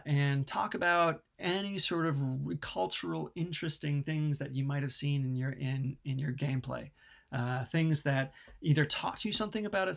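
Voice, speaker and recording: A man's voice: fairly high pitch, creaky. A man with an American accent giving a recorded lecture, casual, with many ums and restarts. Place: New Zealand.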